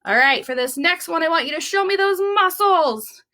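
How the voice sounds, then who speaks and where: A woman reading and talking to young children as a library storytime host, expressive and deliberate. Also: extremely high pitch.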